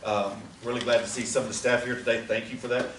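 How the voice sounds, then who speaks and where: A man's voice: mid-range voice, flat delivery, fast talker, fairly bright tone. A man making casual announcements to a theater audience, chatty and teasing. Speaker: theater company organizer. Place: theater or event room. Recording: roomy, from far off, faint noise.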